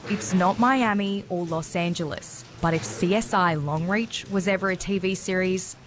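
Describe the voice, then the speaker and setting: Woman reporter, slightly low voice. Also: normal speed, over music. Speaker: news reporter. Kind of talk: scripted report narration.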